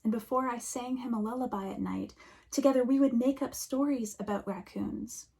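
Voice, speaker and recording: A woman minister giving a reflective sermon, speaking slowly and softly, often dropping to a near whisper. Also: on the high side, gravelly, breathy edge.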